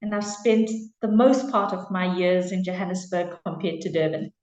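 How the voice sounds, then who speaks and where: A woman's voice: lowish voice, normal speed, a little mellow, echoey room. A South African woman in her forties, answering interview questions about her career in an earnest, reflective way with frequent fillers and restarts. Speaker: interview guest, HR executive.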